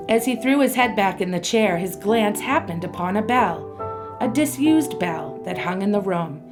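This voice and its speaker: A woman narrating a radio play in a steady storytelling voice. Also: lowish voice.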